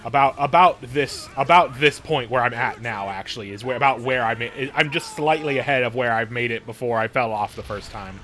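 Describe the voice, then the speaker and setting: A man's voice: mid-range voice, brisk, hardly any pauses, fairly bright tone. A man giving casual, rambling live-stream commentary, often circling back to rephrase, in informal and occasionally profane language. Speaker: streamer hosting a game stream. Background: game music.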